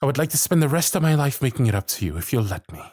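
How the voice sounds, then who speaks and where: A man playing an earnest, lovestruck young gentleman with a tender, halting, pleading delivery in a romantic audio roleplay. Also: mid-range voice, big pitch swings, no room sound, clean studio sound. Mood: loving, nervous. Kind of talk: romantic ASMR roleplay acting.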